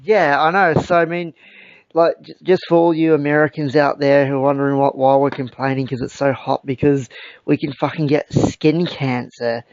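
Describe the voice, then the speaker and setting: A young Australian man with a croaky voice, hosting a casual podcast chat, rambling and swearing with lots of fillers. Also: fairly high pitch, level tone, normal speed, no echo. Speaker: podcast host. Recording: dull lo-fi sound.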